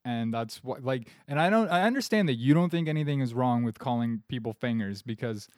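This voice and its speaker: A man's voice: medium pitch, lively intonation, brisk, no room sound, studio quality. A man in his thirties, a comedian guest on a podcast, rambling in a self-deprecating, mock-earnest way. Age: 30s.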